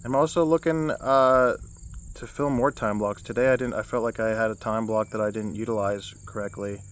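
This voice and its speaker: A young man with a low baritone voice talks through a casual self-help vlog. His delivery stays fairly flat and rambles, full of restarts and fillers. Crickets and insects chirp around him outdoors. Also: moderate pace, a little hiss or hum. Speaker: vlogger.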